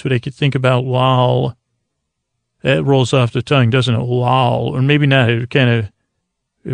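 Creaky-voiced man, rambling and halting. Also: mid-range voice, no echo. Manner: halting, meandering, self-deprecating. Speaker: host of a sleep podcast. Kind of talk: rambling, improvised bedtime monologue.

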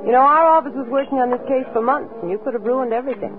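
A woman's voice: high-pitched, rapid-fire, lightly acted. An actress playing a cool, teasing, self-possessed female agent in 1940s radio drama, with an arch, coy manner. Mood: softening, coy. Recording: tinny old radio recording, music.

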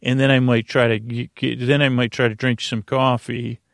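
A middle-aged man hosting a bedtime podcast, rambling haltingly through stammered restarts and tangents with gently absurd, self-deprecating humour. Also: medium pitch, level tone, gravelly, dry recording, studio quality. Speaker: podcast host.

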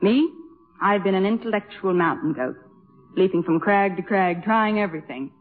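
A woman's voice: mid-range voice, normal speed, close to an everyday voice. An actress playing a cool, sophisticated young woman who is articulate, probing and analytical in a 1950s radio drama. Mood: self-possessed, candid. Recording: muffled 1950s radio with music behind.

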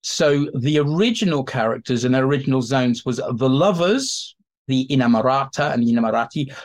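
A man's voice: medium pitch, lively intonation, a little fast. A man speaking as an expert guest in an interview, explaining history in a chatty, informal way and slipping into acted-out asides.

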